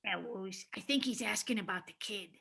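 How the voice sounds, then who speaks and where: A woman's voice: medium pitch, moderate pace, vocal fry, sharp tone. A woman playing Heffalump, a gleeful, dim, sneering demon sidekick who jeers and gloats in a stage play reading. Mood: gloating. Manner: gloating, jeering, goofy.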